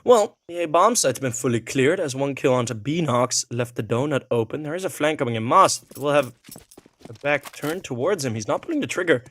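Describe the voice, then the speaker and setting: A young man whose first language is Dutch, speaking with a Dutch accent as he gives relaxed play-by-play commentary, thinking aloud with many fillers and restarts. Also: mid-range voice, a little fast, dry recording. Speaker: esports caster.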